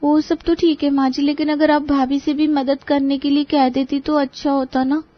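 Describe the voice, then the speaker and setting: A woman's voice: high voice, flat delivery, normal speed, dry recording, dull audio, close to an everyday voice. A woman playing a timid, polite young Indian daughter-in-law in a cartoon family drama, speaking deferentially and coaxingly. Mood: hesitant, coaxing.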